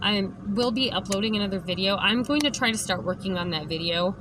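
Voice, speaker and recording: A woman vlogger with a hoarse voice, talking emphatically straight to the camera and often tagging lines with "okay?". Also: mid-range voice, fairly quick. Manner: emphatic, keeps adding "okay?". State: hoarse, lost voice. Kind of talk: direct-to-camera rant. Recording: background noise.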